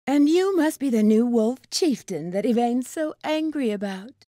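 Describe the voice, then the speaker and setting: A woman playing a greedy, sadistic mercenary villainess in a video game, mocking and teasing with drawn-out words. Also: a little high, expressive, warm tone, no echo, clean studio sound, close to an everyday voice. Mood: flirtatious, mocking. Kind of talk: theatrical video game acting.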